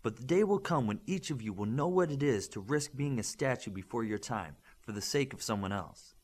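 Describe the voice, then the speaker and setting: A man's voice: medium pitch, lively intonation, slightly rough. Stern, emphatic male superhero instructor, read by a narrator. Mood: earnest, firm. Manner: emphatic, didactic, measured lecturing with rhetorical questions. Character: stern, principled male instructor lecturing young superhero trainees. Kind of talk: audiobook character dialogue.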